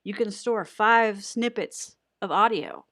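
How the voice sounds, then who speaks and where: A woman's voice: mid-range voice, slow pace, a bit bright, dry recording, studio quality. A woman giving a casual, unscripted editing tutorial in a chatty, rambling way with self-deprecating humour.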